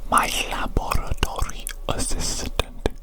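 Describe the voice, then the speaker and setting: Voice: slow pace, natural voice. A performer voicing a doting evil mad doctor who scorns humans, in a repetitive, fussing whisper for an ASMR medical roleplay. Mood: doting, concerned.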